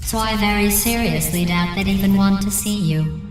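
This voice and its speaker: An actress voicing a coldly sinister, passive-aggressive computer intelligence in a video game, with precise, measured, deadpan delivery and robotic processing. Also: female voice, mid-range voice. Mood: mock-sweet menace. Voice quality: synthetic, precisely enunciated. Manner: deadpan, measured, mock-polite, sarcastic. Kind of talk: scripted video game dialogue. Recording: echoey, game music behind.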